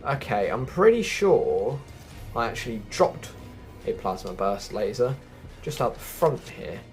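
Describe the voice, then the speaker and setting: A man's voice: mid-range voice, big pitch swings, unhurried, faint music behind. A man giving a casual, chatty gameplay walkthrough, explaining things directly to viewers as a video host.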